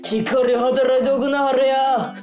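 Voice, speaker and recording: A woman's voice: high-pitched, flat delivery, moderate pace, darkish tone, roomy sound, faint music behind, lightly acted. A woman voicing a schoolgirl who plays a tough, big-hearted male moneylender, in a menacing gangster tone with a Kansai accent. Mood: gruff, magnanimous. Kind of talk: theatrical radio drama acting.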